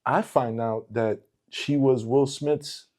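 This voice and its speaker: A man's voice: medium pitch, steady tone, slow, darkish tone. A middle-aged man born in Portugal, a former teacher talking in a casual conversation, emphatic and given to repeating words as he builds a point.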